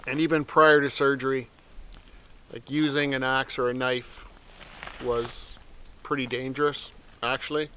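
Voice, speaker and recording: A man's voice: medium pitch, a little slow, long pauses, slight background noise. Middle-aged man chatting outdoors in snowy woods. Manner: relaxed, rambling, soft-spoken asides to his dog. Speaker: outdoor channel host. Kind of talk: casual talk to camera. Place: snowy forest outdoors, seated by a small stove.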